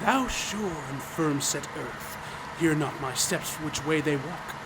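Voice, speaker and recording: A man's voice: a little high, lively intonation, slow pace, noisy background. A man playing a menacing murderous driver who declaims Shakespeare theatrically in a live radio play. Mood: sinister, grandiose. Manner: declamatory, sinister.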